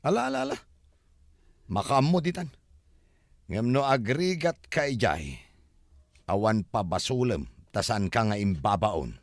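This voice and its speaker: A man's voice: on the low side, very animated, unhurried, pauses often, no room sound, studio quality. A man with an Ilocano accent playing an exasperated, teasing countryman in a comedy-drama. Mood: exasperated, resigned.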